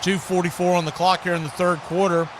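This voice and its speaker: A high-pitched man announces football play by play. He works in short, punchy phrases. Clear background noise sits behind the live stream sound. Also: level tone, fast.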